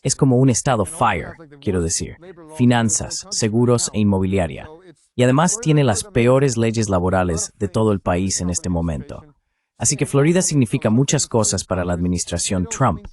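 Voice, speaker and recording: A man's voice: on the low side, darkish tone. A man giving a translated voice-over of an interview guest's political analysis.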